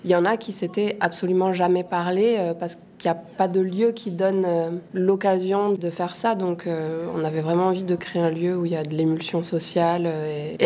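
A woman's voice: on the low side, warm tone, a little hiss or hum. A woman giving casual interview answers in a hesitant, thoughtful way. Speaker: interviewee, café co-founder.

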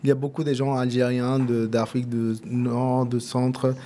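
Mellow man with an Argentine Spanish accent. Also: medium pitch, level tone, moderate pace, slight background noise. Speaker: interviewee, musician and café co-founder. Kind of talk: casual interview answers.

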